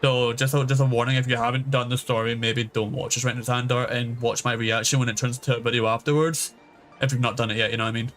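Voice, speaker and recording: A man's voice: medium pitch, little pitch movement, fast, fairly bright tone, soft background music. A man giving casual live game commentary, rambling and thinking aloud as he goes. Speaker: streamer.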